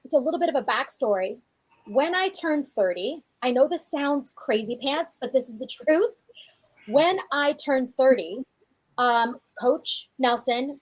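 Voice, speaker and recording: A woman in her forties, a fitness coach co-hosting a podcast, talking in a chatty, animated way with frequent restarts. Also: on the high side, rough recording. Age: 40s. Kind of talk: casual podcast chat.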